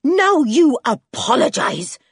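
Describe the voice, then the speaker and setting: A woman's voice: medium pitch, wildly swooping pitch, moderate pace, darkish tone, husky, dry recording, studio quality, exaggerated voice. A woman voicing an indignant farmer's wife in a storybook, fiercely loyal to her husband and emphatic in her scolding. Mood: furious. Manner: emphatic, scolding, repeats herself.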